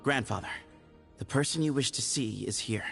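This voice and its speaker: A man's voice: medium pitch, normal speed, vocal fry, a bit bright. An actor playing an easygoing young desert man in a video game, casual, teasing and evasive. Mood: respectful. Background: game music.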